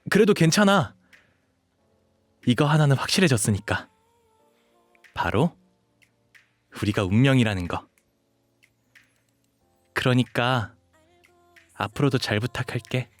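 Man acting a gentle, devoted young dog shifter. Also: medium pitch, no room sound, lightly acted. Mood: wondering, devoted. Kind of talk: Korean romantic audio drama acting.

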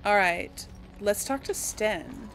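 Woman doing a lets play, chatty n rambly, normal pitch, faint game noise behind. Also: takes it slowly, a bit bright, rough recording. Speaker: gaming video host. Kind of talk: casual let's play commentary.

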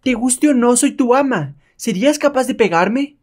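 A man voicing an imperious, hot-tempered noblewoman with a shrill, commanding manner in a comic-book reading. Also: animated, moderate pace, a little mellow. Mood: defiant. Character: imperious, hot-tempered Japanese widow, haughty slave mistress.

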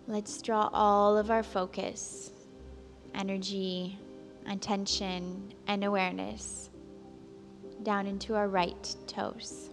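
A woman instructor leading a guided relaxation, speaking calmly and gently, with long pauses between soothing instructions. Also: medium pitch. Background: soft background music.

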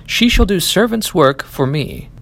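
A man's voice: high voice, sing-song, measured pace, creaky, fairly bright tone, voice pushed up, exaggerated voice. A man voicing a bitter, commanding soldier in a storybook fairy tale. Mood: vengeful.

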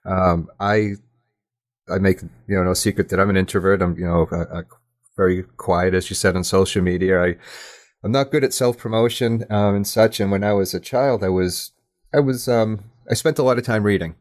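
A man with a deep voice talks as a guest in an interview. His style is casual and reflective. He comes across as modest and candid, feeling his way haltingly through his sentences. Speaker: podcast guest, audio drama writer.

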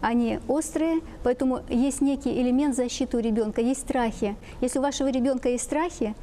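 A middle-aged woman explaining things as an expert guest on a talk show, in a halting way that repeats phrases. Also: high-pitched, warm tone, a little hiss or hum. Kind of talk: explaining children's drawings to parents. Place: TV studio with seated guests.

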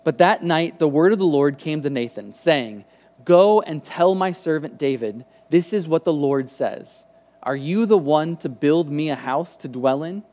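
A man in his thirties preaches a casual, conversational sermon from a church stage, his voice a touch higher than most men's. He talks through a headset mic, often restarting phrases as he gestures. A long hall reverb trails his words. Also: unhurried. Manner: conversational, animated hand gestures, frequent restarts. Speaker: pastor. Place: church stage with lit patterned backdrop.

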